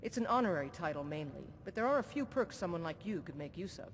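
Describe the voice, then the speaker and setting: An actor voicing an older jarl and former soldier who speaks in a measured, formal, commanding way. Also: male voice, expressive, a bit bright. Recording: game audio with long reverb and faint rumble.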